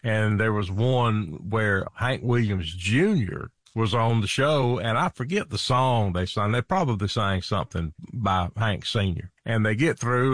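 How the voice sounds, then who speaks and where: Folksy low-voiced man, dry sound. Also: no echo. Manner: folksy storyteller, chuckles often. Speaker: host. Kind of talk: casual chat.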